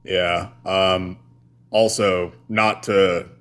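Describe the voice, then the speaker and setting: A man's voice: low-pitched, level tone, very slow, a bit bright, clean tone. A man co-hosting a casual live stream, rambling with many fillers and restarts. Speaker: co-host.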